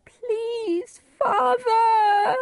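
Woman acting a teenage girl, high-pitched, distraught, pleading, defiant, dramatic storybook voice. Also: animated, moderate pace, a little mellow, no echo. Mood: desperate pleading.

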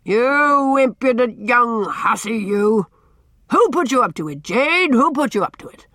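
A woman reading an audiobook and voicing a big, fierce, bullying middle-aged man who booms and barks out questions. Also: animated, unhurried, no room sound, studio quality. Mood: furious. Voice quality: loud, booming. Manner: blustering, demanding, barking questions. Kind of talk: audiobook character voice.

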